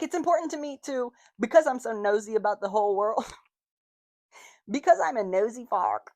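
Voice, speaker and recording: A woman's voice: medium pitch, darkish tone, dry recording. A woman in her late twenties, hosting a casual solo podcast, talking candidly with lots of slang and swearing. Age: 20s. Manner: candid and profane, slangy, lots of "you know what I mean". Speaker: podcast host.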